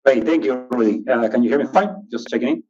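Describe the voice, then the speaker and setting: A man's voice: quick pace, a little mellow. A man from Ecuador, casually introducing himself as a panelist. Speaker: panelist, technology executive. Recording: muffled low-fidelity online call audio.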